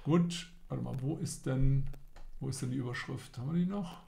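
A man's voice: mid-range voice, moderate pace, creaky, mellow, a little hiss or hum. A middle-aged man co-hosting a casual live stream, chatting and joking while reading headlines aloud. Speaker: co-host. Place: indoor room, seen on video.

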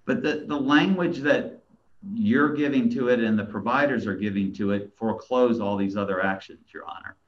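Stammering lawyer on a dull video call. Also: male voice, fairly low pitch. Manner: stammering restarts, then measured legal argument. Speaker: attorney arguing an appeal. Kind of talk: courtroom oral argument. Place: small plain room, indoors. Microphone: video call webcam.

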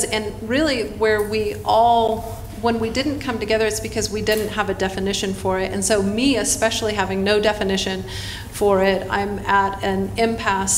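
A woman on a conference panel, speaking in a halting, searching, earnest way about her own experience. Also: medium pitch. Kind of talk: personal reflection on a panel. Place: hotel conference room. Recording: roomy hall with faint noise.

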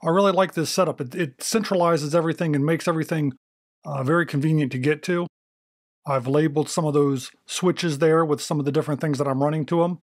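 A man's voice: a little high, fairly even intonation, fast talker, no room sound. An American man hosting a video, casually narrating a demonstration on a close microphone. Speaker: video host. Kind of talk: casual narration of a thrift find demo.

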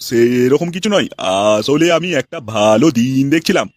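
A man's voice: mid-range voice, expressive, normal speed, lightly acted. Man voicing an evasive cartoon matchmaker. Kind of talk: comedy cartoon dubbing.

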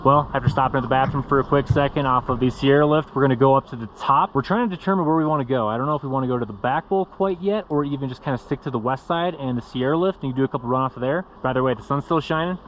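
Fast-talking young man vlogging on the slopes. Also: mid-range voice, highs cut off, faint music behind. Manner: upbeat, chatty, addressing viewers directly. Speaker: ski vlogger. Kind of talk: casual vlog narration. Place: outdoors on a ski slope and chairlift. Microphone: action camera on a pole mount.

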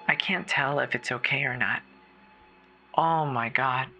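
Frightened, bright-voiced woman emergency caller acted out. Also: medium pitch, slight background noise. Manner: halting, fearful, breathy stammering. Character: terrified woman caller alone in a snowbound house. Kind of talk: horror audio drama, dramatic reading.

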